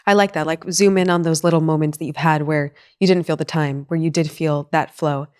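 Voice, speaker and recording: A woman's voice: low-pitched, steady tone, fairly quick, darkish tone, clean tone. A woman hosting a faith podcast interview, affirming her guest and reflecting back on what she said. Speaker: podcast host.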